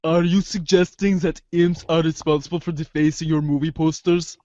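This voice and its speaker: A man playing a dry, sardonic, articulate teenage girl with deadpan, formal delivery in an amateur readers' theater. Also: on the high side, moderate pace, highs cut off, noisy background, lightly acted. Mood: dry, skeptical.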